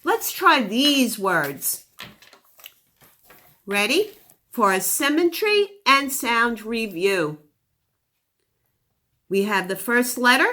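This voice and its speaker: A woman's voice: fairly high pitch, very animated, extremely slow, long pauses, sharp tone. A woman teacher giving a phonics lesson to young children, encouraging them with praise and questions and sounding out words with exaggerated articulation.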